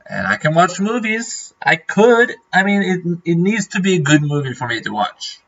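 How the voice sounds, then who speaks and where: Streamer, Norwegian-born man, bright husky voice, rambling casual chat, dull lo-fi audio. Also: sits high, sing-song, moderate pace. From Norway. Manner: rambling, many fillers and restarts.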